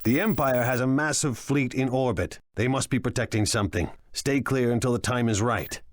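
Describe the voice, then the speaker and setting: An actor playing a cunning, ruthless crime lord in a video game, speaking in a measured, condescending and deliberate way. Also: male voice, mid-range voice, dry recording, studio quality, natural voice. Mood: calculating, commanding. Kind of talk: dramatic video game voice acting.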